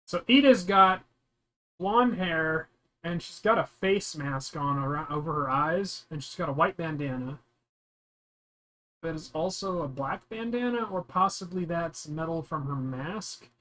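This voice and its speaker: A man's voice: fairly high pitch, lively intonation, a little slow, a bit bright, poor audio. A man giving a casual, blunt, sweary play-by-play description of what is on screen in a video game. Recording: game music behind the voice. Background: video game music.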